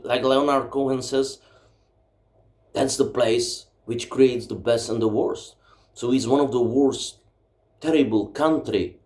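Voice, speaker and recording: A man's voice: mid-range voice, a little mellow. A man with a Czech accent, a native Czech speaker, delivering a blunt, emphatic and profane ranting monologue from his home library. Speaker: book vlogger. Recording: roomy echo.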